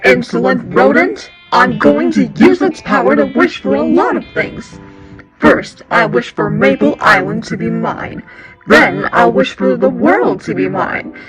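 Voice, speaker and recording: Very high-pitched haughty villainess. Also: female voice, lively intonation, over music, low-quality recording. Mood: gloating menace. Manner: theatrical, menacing, drawn-out threats. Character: haughty villainess sorceress, power-hungry and scornful. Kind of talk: audio drama acting.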